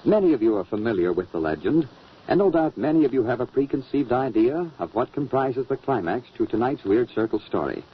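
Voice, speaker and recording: A man with a raspy voice announcing in polished, emphatic, dramatic 1940s radio style. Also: medium pitch, sing-song, brisk. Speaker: announcer, narrator. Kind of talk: 1940s radio announcing, scripted narration and sponsor pitch. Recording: muffled old radio recording.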